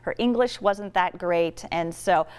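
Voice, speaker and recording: A woman in a candid interview, speaking in a relaxed, conversational way. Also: fairly low pitch, measured pace, clean tone.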